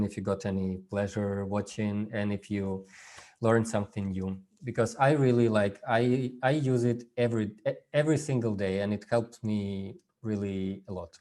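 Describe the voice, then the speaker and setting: A man whose first language is Russian gives an informal workshop over a video call, speaking haltingly with many fillers and restarts. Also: fairly low pitch, steady tone, warm tone. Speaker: workshop presenter. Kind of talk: informal online workshop talk.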